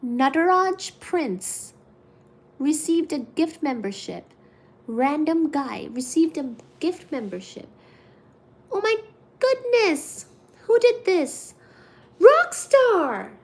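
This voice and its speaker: A woman in her thirties from India, chatting casually with her viewers on a livestream, giggly and quick to get excited reading the chat. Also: high voice. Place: home room with cat shelves.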